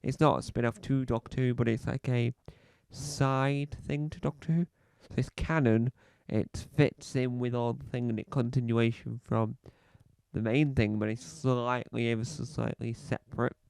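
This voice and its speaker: Man plays a pompous podcaster with a cough, halting and repetitive, dry close mic. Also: medium pitch, fairly even intonation, vocal fry, a little mellow, smooth, no echo, natural voice. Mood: rambling. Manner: rambling, halting, fond of repeating phrases. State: slight cough. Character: self-important male celebrity podcaster, rambling. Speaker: comedy podcast host character. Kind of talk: scripted comedy monologue.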